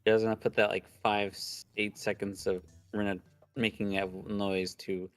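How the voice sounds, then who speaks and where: A man's voice: on the low side, little pitch movement, normal speed, no room sound, faint music behind. A man reading a visual novel aloud on a stream and chatting casually about it, with crude, slangy joking. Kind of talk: casual playthrough chat mixed with reading aloud.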